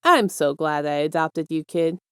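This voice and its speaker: A woman voicing a smug, affectionate male villain mastermind with a soft, teasing, self-assured manner in an audio story. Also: deep voice, animated, moderate pace, clean tone, no room sound, clean studio sound. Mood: smug, amused.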